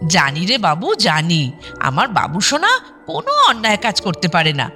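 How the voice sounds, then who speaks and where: A woman playing a sharp-tongued yet doting dead mother who speaks from her photograph, in a colloquial radio drama style. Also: on the low side, sing-song, moderate pace, soft background music, exaggerated voice. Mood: doting, conspiratorial. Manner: scolding, teasing, colloquial. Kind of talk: broadcast radio drama acting.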